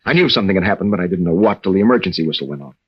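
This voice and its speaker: An actor playing a troubled young American navy veteran and husband, speaking earnestly and haltingly in an old radio drama. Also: male voice, deep voice, animated, husky. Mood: haunted, confessional. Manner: halting, earnest, with stammered restarts. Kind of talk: 1940s-50s radio drama acting. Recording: dull old-time radio recording.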